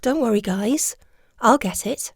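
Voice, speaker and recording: A woman's voice: on the high side, unhurried, husky. A woman playing a principled charity worker in an audio play, firm, earnest and plain-spoken. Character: firm principled female leader of small charity group.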